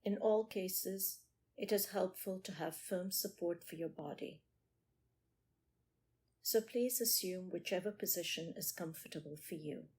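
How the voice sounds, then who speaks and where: A woman leads a guided meditation at a very slow pace. Her voice sits a little low and has a husky, breathy grain. She gives each instruction in short, measured phrases, leaving space after them. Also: a little mellow. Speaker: meditation teacher.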